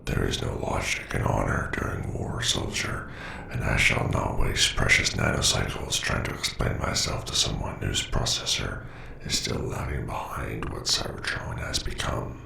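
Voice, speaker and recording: Gravelly, abyss-deep robot scientist villain. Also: male voice, level tone, sharp tone, full character voice. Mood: cold, impatient. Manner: condescending, formal, deliberate. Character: cold, logical, menacing male robot scientist. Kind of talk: audio roleplay drama. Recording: distant, echoey, noisy voice track.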